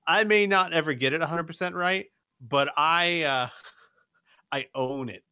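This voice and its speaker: A man's voice: a little high, a little slow, sharp tone, smooth, dry recording, studio quality. A young man hosting and running a tabletop game, chatting in a rambling, self-deprecating way full of restarts and laughs. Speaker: game master and channel host. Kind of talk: casual chat, running a tabletop session.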